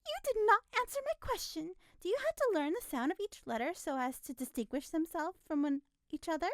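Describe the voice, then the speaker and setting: A woman reading aloud puts on a meddling, haughty lady from an old storybook. The voice jumps far above her normal pitch and gets loud and piercing. Every question comes out sharp and incredulous, recorded close and dry. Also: lively intonation, fairly quick, no echo. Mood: prying. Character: prying, haughty woman with the big nose.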